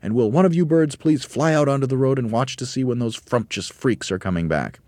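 A man voicing an excitable, bossy father monkey with an emphatic, exclaiming storybook delivery. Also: mid-range voice, fairly quick, dry recording, pitched above the performer's own voice, natural voice. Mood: commanding.

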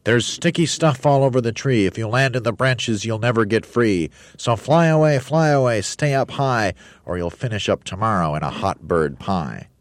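A man's voice: lowish voice, animated, a little fast, hardly any pauses, a little mellow, dry recording, voice pushed up, close to an everyday voice. A man voicing a pompous, grand roly-poly bird. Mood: sing-song warning. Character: grand, boastful male bird, cheerful.

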